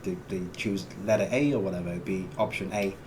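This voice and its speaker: Man, lowish voice, casual stream co-host, filler-heavy brainstorming, faint background noise. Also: fast. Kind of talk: casual live-stream chat.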